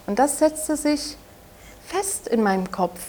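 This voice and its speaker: A woman in her twenties from Saxony with a Saxon German accent, speaking haltingly and softly as an interview guest, often restarting her sentences. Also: high-pitched, sing-song. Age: 20s. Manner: halting, soft-spoken, with frequent restarts. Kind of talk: personal testimony in an interview.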